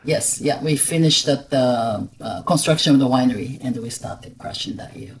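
A middle-aged woman from Japan speaking English, talking as a guest in a casual interview, soft-spoken and hesitant, with many fillers. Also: a bass voice, normal speed. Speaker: guest, winery owner.

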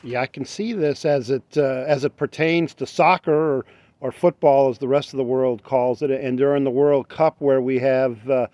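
A man hosting an interview, asking halting questions with many fillers. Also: mid-range voice, steady tone, dark tone, no room sound, muffled recording. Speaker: interviewer host.

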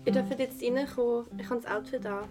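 A woman's voice: a little high, steady tone, brisk, over loud music, natural voice. A woman with a Swiss German accent playing a self-conscious teenage girl in a youth radio play. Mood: relieved. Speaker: actor in an audio drama.

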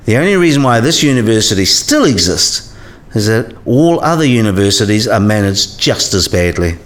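A man's voice: lowish voice, very animated, normal speed. An actor playing a disillusioned but easygoing senior male professor, frank and world-weary, in a radio play. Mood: disillusioned, blunt.

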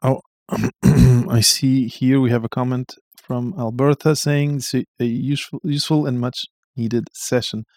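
A man's voice: medium pitch, fairly even intonation, a little slow, darkish tone, no room sound. Hesitant male interview host. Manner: hesitant, many restarts and fillers. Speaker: podcast host. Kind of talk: live-streamed interview.

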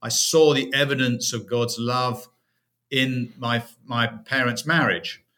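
Middle-aged british guy, guest on a podcast, bright clear voice, reflective storytelling. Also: mid-range voice, steady tone, slow. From United Kingdom. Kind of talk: personal testimony in an interview.

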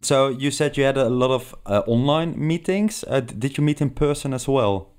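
Smooth-voiced male interviewer. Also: medium pitch, normal speed, darkish tone. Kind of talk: conversational interviewing.

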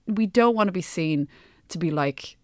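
An Irish woman co-hosting a podcast, talking casually and emphatically, often halting and repeating words as she argues her point. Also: lowish voice, expressive, no room sound, clean studio sound. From Ireland. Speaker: podcast co-host. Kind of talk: casual opinionated discussion.

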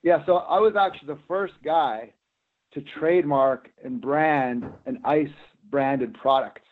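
A man's voice: fairly high pitch, unhurried. A middle-aged man, an executive answering interview questions conversationally. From Canada, Alberta prairies. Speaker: interview guest, company executive. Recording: narrow, tinny phone-line sound.

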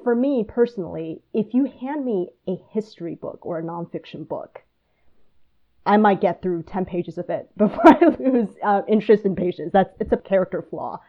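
A woman from Taiwan hosting a podcast, talking in a thoughtful, measured way. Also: medium pitch, lively intonation. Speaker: podcast host. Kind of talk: casual conversation. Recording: tinny phone-like line.

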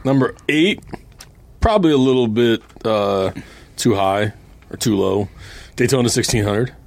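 A man co-hosting a podcast, reading his countdown list in a relaxed, playful way. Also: medium pitch, a little slow, gravelly, no room sound. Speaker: podcast co-host.

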